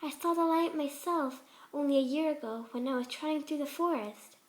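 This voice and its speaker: Female reader as twisted pony surgeon for a horror story: very high, gentle, cooing, serenely mad. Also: normal speed, lightly acted. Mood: calmly fervent. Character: sweet, soft-spoken, twisted female pegasus pony surgeon.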